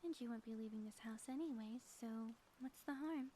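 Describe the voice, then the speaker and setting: Woman playing a high-voiced theatrical ex-supervillainess. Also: normal speed, dark tone, slight background noise, lightly acted, low-quality recording. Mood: tender, earnest. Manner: theatrical, formal grandiose diction. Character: theatrical ex-supervillain woman, grandiose schemer, lovestruck devoted spouse. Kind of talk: ASMR audio roleplay.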